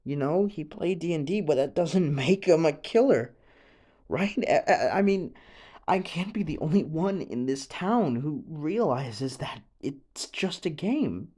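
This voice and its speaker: Halting, slow-paced young American truth-teller acted by a man. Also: fairly high pitch, a little mellow. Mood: defensive, agitated. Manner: halting, stammering, rambling, sighing. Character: earnest, rattled young small-town conspiracy truth-teller on radio. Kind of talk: improvised-sounding radio confession roleplay.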